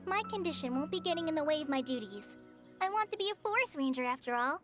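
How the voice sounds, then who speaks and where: A teenage trainee ranger girl for a video game. The voice is very high and lively in pitch, earnest yet shy and hesitant. Music plays clearly behind her. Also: fast talker. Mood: earnest, determined. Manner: hesitant, sincere.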